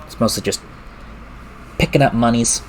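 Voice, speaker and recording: A man's voice: medium pitch, moderate pace. Man chatting casually over noisy game stream. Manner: informal, mildly profane. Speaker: live streamer. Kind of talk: casual gaming stream chat. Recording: clearly noisy stream audio.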